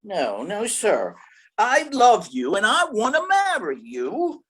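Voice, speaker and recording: Stammering gangster man, swooping husky voice. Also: high-pitched, huge pitch swings, slow pace, fairly bright tone, full character voice. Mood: lovestruck, flustered. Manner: stammering, bumbling, uneducated slangy talk. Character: dim, lovestruck male gangster with a gun. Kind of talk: old-time radio play acting.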